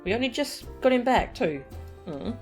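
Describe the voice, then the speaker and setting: A woman's voice: a little high, expressive, normal speed, darkish tone, soft background music. A woman livestreaming a video game, chatting and commenting as she plays. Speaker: game streamer. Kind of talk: livestream gameplay commentary, reading dialogue aloud.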